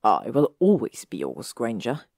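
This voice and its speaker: An arrogant, possessive young male wizard from a spoken fan story, voiced by a woman reader. The voice sits very low and comes out in a clipped, cocky drawl. It is recorded close and dry, with no room around it. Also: wildly swooping pitch, a little slow, a little mellow, husky, no echo, full character voice.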